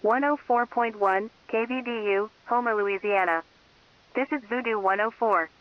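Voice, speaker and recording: Female radio announcer, high voice, scripted news update, slightly dull lo-fi sound. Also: normal speed.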